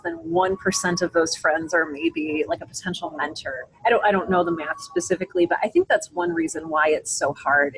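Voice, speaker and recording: A woman's voice: fairly low pitch, rough recording. A woman giving conversational, reflective interview answers, explaining her thinking aloud. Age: adult. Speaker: interview guest. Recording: faint background ambient noise.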